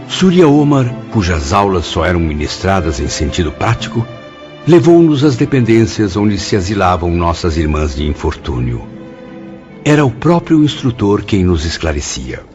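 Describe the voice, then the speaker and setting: Deep, sweeping spirit narrator. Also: male voice, moderate pace. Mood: reverent. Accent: Brazilian. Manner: grand, literary, expressive. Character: grateful, reflective male spirit recounting his afterlife. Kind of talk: radio drama narration. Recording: dull audio over background music.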